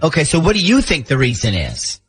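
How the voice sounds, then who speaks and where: A man hosting a morning radio show with brisk, joking banter. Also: on the high side, huge pitch swings, breathy edge, faint music behind.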